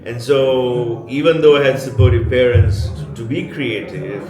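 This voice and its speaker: A man's voice: mid-range voice, steady tone, measured pace, crowd noise. A man in his thirties from Sri Lanka, a podcast co-host, talking reflectively with many fillers as an interview guest at a studio meetup. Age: 30s. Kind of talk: casual interview chat. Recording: echoey, dull sound, loud music behind.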